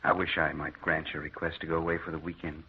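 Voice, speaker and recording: Deep-voiced worried husband, formal n a bit patronizing, muffled old radio play. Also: male voice, little pitch movement, fast talker, a little hiss or hum. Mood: soothing. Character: concerned, patronizing husband of a nervous woman. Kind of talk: old-time radio drama acting.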